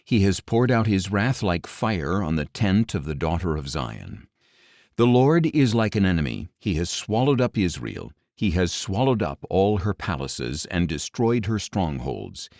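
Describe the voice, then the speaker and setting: A man reading scripture as an audiobook narrator in a solemn, grave, measured delivery with deliberate pauses. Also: low-pitched, very animated, darkish tone, no room sound, studio quality.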